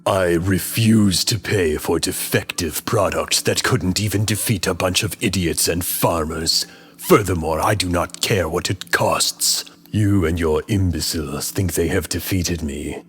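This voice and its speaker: An actor playing a vengeful, imperious villain lord in a radio drama, raspy and commanding. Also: male voice, deep voice, a bit bright. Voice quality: husky, raspy. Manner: imperious, menacing.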